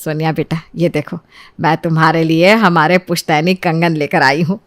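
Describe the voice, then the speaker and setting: A woman voicing a kindly, doting middle-aged mother-in-law in an animated family story. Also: low voice, moderate pace, natural voice. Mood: tender, blessing. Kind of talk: animated story dialogue in Hindi.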